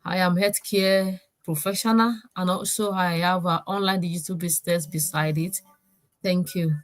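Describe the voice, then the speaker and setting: A woman's voice: on the low side, fairly even intonation, normal speed. A woman from Nigeria, speaking briefly and formally on a video call.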